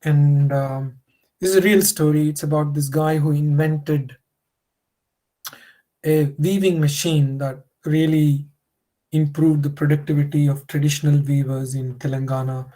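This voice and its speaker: A man's voice: on the high side, steady tone, measured pace, mellow, low-quality recording. A man, a Telugu speaker, giving a workshop lecture in a conversational way, full of "you know" fillers. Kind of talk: online lecture.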